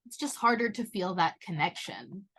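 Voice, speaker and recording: A woman's voice: on the low side, big pitch swings, moderate pace, fairly bright tone, breathy edge. Blunt young woman podcast co-host. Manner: emphatic, blunt, with lots of "like". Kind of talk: casual chat.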